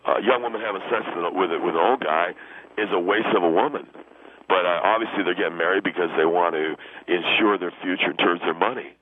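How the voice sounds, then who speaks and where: Low-pitched, indignant young guy played by a man phoning a radio comedy show; halting, crude, self-righteous, on a thin, hissy line. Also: dry recording, natural voice. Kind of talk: improvised radio comedy call-in. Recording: phone-like radio line with hiss.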